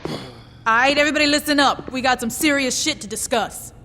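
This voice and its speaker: A woman's voice: sits high, brisk, pushed and bright, long reverb tail, a little hiss or hum. A woman voicing a tough, foul-mouthed female gang boss in a video game cutscene, blunt and sarcastic. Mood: commanding. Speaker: gang leader player character.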